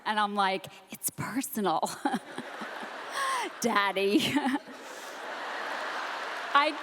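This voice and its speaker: A woman's voice: medium pitch, huge pitch swings, drawn-out words, a crowd in the background. A woman in her fifties doing dry, murmured stand-up one-liners on a large theater stage. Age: 50s. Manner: dry, murmured delivery under her breath. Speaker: stand-up comedian. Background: audience laughter and room noise.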